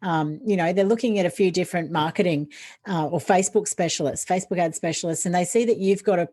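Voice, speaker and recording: A woman guest on a podcast interview, calmly explaining ideas and listing options in a steady, informative way. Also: on the low side, fast. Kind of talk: casual interview, explaining tips.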